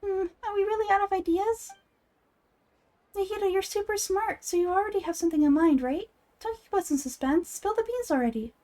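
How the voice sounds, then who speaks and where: A woman voicing a small, chirpy, childish girl companion in an anime game fan dub, blunt, pushy and excitable. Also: very high voice, a little fast, voice pushed up. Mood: playful impatience. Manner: speaks of self in third person, pushy, excitable.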